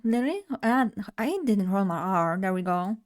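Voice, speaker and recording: A woman's voice: mid-range voice, animated, moderate pace. A young woman from Poland, chatting bubbly and directly to her live chat as a streamer at a desk mic. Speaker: vtuber streamer.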